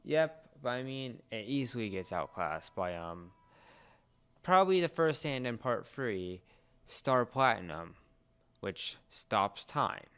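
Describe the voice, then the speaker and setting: A young man co-hosting a casual pop culture discussion, explaining things in a halting, searching way. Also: medium pitch, sing-song, a bit bright, clean tone. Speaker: podcast co-host.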